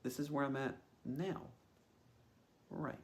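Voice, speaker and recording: A man's voice: mid-range voice, takes it slowly. A man giving a reflective personal monologue as a host, musing tentatively and thinking aloud. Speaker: podcast host.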